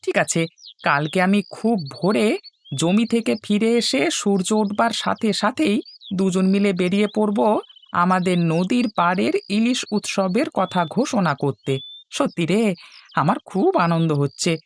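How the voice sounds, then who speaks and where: A woman's voice: medium pitch, animated, a little slow, slight background noise. A woman voicing an affectionate, hearty village father and farmer in a cartoon. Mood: glad, earnest. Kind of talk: Bengali cartoon dubbing.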